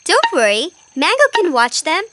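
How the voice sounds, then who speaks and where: A woman's voice: sits high, very animated, moderate pace, heavy background noise. A woman voicing a cheerful, caring young girl adventurer in a children's cartoon. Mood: friendly.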